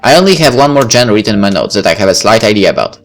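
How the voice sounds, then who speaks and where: A man's voice: fairly low pitch, big pitch swings, very fast, soft background music. A man reading a visual novel aloud as a let's play narrator, with casual asides.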